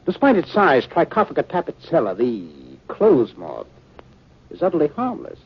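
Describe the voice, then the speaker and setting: Husky, dramatically swooping radio scientist. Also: male voice, on the high side, wildly swooping pitch, normal speed, heavily acted. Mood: calm lecturing. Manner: theatrical, explanatory, soothing. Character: concerned scientist husband, learned, patronizingly calm. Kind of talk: 1950s radio drama acting. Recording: muffled 1950 radio broadcast.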